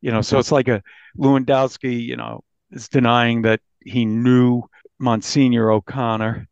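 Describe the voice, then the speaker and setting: A man speaking as a journalist guest, explaining things conversationally in a halting, deliberate way. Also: medium pitch, flat delivery, creaky, smooth, dry recording, muffled recording. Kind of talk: conversational interview commentary.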